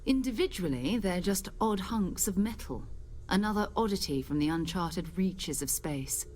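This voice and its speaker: A woman's voice: lowish voice, expressive, normal speed, darkish tone, slight background noise, close to an everyday voice. An actress playing a confident, focused woman who leads space explorers in a video game, composed and encouraging. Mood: assured, welcoming. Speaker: leader of an explorers' group. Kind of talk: video game character dialogue.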